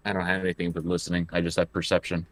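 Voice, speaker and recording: A man playing a tabletop roleplaying game, improvising his character's actions in halting, casual talk. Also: low-pitched, completely flat delivery, no room sound.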